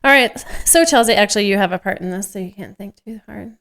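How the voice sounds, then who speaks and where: A woman's voice: medium pitch, quick pace, gravelly, a bit bright, a little hiss or hum. A woman co-hosting a podcast, with a teasing, joking manner, switching between casual banter and reading from a script. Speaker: podcast co-host.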